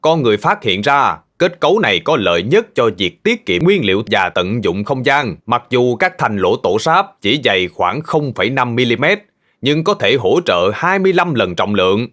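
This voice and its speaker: Cartoon farm owner, played by a man: friendly adult, animated delivery, gently explains facts to kids. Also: mid-range voice, moderate pace. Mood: friendly, instructive. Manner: patient, explanatory, addressing children gently. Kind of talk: children's cartoon dubbing, educational.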